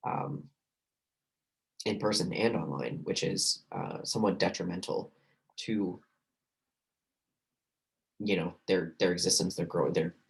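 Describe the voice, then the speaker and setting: A man lecturing on a recorded class, hoarse and losing his voice, talking loosely with many fillers and restarts. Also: low voice, a little slow, pauses often. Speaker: university lecturer.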